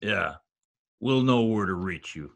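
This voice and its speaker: A man playing a gruff, blunt gangster boss with tough slangy talk in a 1940s radio crime drama. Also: fairly low pitch, expressive, measured pace. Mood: indignant, tough. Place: home, recorded over video call.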